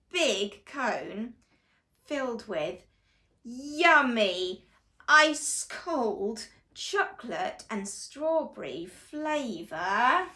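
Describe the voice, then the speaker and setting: Very slow, high-pitched woman reading to children. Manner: exaggerated, expressive, slow and deliberate delivery to children. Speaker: children's story reader. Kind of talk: animated read-along storytelling for young children. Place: small living room, on a sofa indoors.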